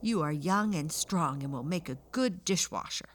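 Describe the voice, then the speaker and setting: A woman's voice: low-pitched, lively intonation, normal speed, a little hiss or hum. Woman as a stern, commanding storybook giant. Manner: loud, curt, commanding. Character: stern, menacing giant man who commands his captive.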